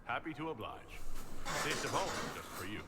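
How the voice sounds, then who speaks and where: A man's voice: medium pitch, very animated, moderate pace, reverberant, very noisy, close to an everyday voice. A man playing a confident, wry hero who leads an inquisition in a fantasy video game. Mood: wry, assured.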